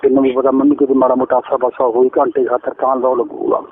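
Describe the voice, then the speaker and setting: Tinny phone-line Punjabi man, talking fast. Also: medium pitch, little pitch movement. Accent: Indian Punjabi. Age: middle-aged. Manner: rambling, repetitive, insistent. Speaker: caller, father-in-law. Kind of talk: private phone conversation. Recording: noisy, tinny recorded phone call.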